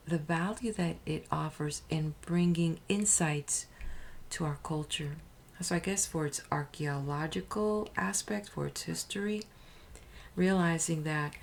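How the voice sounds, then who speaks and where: A woman hosting her own channel, talking casually and thoughtfully, with hesitations, restarts and soft near-whispered phrases. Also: deep voice, vocal fry, slight background noise. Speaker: audiobook channel host. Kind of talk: casual spoken introduction.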